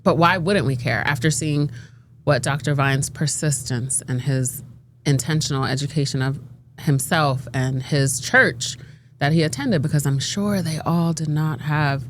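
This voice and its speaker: Middle-aged woman, low voice, animated and emphatic panel talker. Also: a little slow, slight background noise. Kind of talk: casual group discussion.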